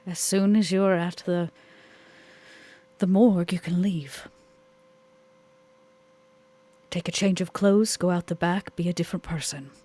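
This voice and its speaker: Woman playing a husky, persuasive vampire club owner. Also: fairly low pitch, steady tone, normal speed, warm tone, lightly acted. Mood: businesslike. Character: composed, persuasive female vampire club owner bargaining for loyalty.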